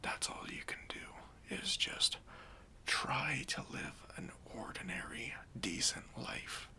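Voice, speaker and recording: A man playing a kindly, reassuring bank robber in a soft, breathy ASMR roleplay, speaking gently and casually to an accomplice. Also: mid-range voice, sing-song, slow, heavily acted. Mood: earnest, conspiratorial. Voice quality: breathy, near-whispered.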